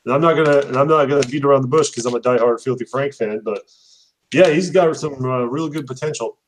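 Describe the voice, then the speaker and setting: A man's voice: mid-range voice, quick pace, slight background noise. A young man hosting a casual chat, rambling with many fillers and laughing often. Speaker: host.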